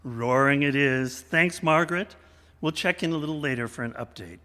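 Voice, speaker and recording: A man hosting a theatrical radio variety show in an animated, showmanlike way. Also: mid-range voice, moderate pace. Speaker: host. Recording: echoey hall, long reverb.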